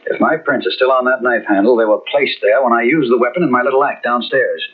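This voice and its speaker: A man's voice: medium pitch, fast talker, husky, lightly acted. An actor playing a suave, wry male murder suspect in an old radio mystery, dry-humored under pressure. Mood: calm. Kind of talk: 1940s radio acting. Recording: tinny old radio recording.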